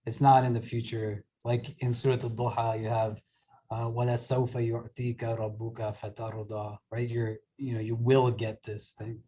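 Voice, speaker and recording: Male imam, online class, friendly explainer, mid-pitch voice. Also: level tone, very fast, warm tone, a little hiss or hum. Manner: explains patiently, keeps checking in with "right?". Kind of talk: casual teaching discussion. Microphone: video call.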